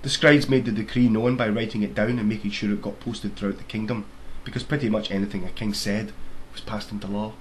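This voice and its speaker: A man's voice: fairly low pitch, steady tone, a little fast, low-quality recording. A man reading his own fairy tale aloud as scripted storybook narration. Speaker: author reading own book. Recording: faint background noise.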